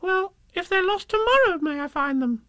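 A man's voice: lively intonation, normal speed, pushed and bright, dry recording, clean studio sound, full character voice. A man voicing a small, eager baby kangaroo in a storybook reading. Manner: high squeaky childlike.

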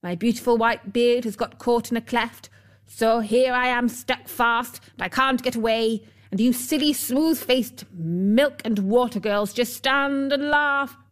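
A woman's voice: on the high side, measured pace, natural voice. A woman voicing an irritable, rude little old male dwarf from a storybook, snapping and scolding. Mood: irritated, scolding. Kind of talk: storybook character voice.

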